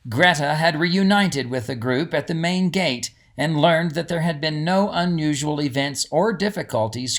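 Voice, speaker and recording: A man who is an author reads his storybook aloud as scripted narration. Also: fairly high pitch.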